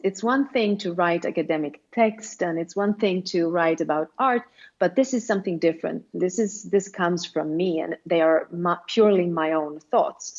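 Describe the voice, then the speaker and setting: Woman, middle-aged, Swedish accent, earnest and reflective, restarts sentences, average pitch, dull lo-fi audio. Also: darkish tone. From Sweden. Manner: earnest, reflective, frequent restarts and light laughs. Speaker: guest author. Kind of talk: interview conversation.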